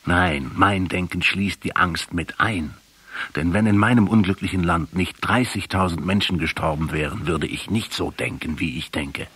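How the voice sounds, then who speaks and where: An actor playing a sombre, reproachful intellectual in a German radio drama, measured and rhetorical, with a very raspy voice. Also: male voice, low voice, fairly bright tone, dry recording. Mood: insistent. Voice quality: very husky, raspy. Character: sombre, reproachful male intellectual interrogating a revolutionary.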